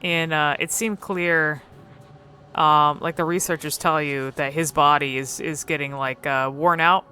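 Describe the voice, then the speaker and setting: A woman's voice: low voice, steady tone, a little slow, sharp tone. A woman giving casual, unscripted commentary on game cutscenes, musing hesitantly and often trailing off mid-thought. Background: music.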